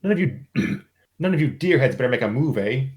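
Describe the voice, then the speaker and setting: A man's voice: medium pitch, animated, a little fast, voice pushed up, close to an everyday voice. Man putting on a haughty, threatening wolf queen. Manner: snapping, imperious threats. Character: threatening, haughty young wild wolf-queen woman, cackling villain.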